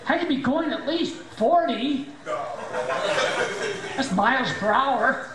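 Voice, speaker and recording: Squeaky-voiced older man doing stand-up. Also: takes it slowly. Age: 70s. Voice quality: squeaky, high voice after voice surgery. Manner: dry, self-mocking, deadpan jokes. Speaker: stand-up comedian. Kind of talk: stand-up comedy set. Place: small tavern stage with audience. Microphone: handheld mic. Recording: echoey bar room with crowd.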